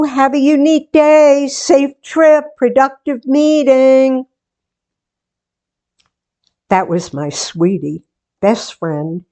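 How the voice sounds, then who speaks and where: A woman playing a sentimental, playful daughter and hostess in a 1940s-style radio theatre monologue, with deliberate, theatrical delivery. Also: lowish voice, a little mellow. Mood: affectionate, playful. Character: sentimental daughter, book-show hostess, playfully resisting marriage.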